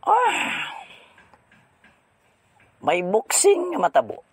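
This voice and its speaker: High-pitched swooping villainess madam. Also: male voice, huge pitch swings, drawn-out words, slightly rough, no echo, heavily acted. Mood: teasing, gloating. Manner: theatrical, cackling, teasing. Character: flamboyant scheming villainess madam arranging fights. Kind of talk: audio drama acting.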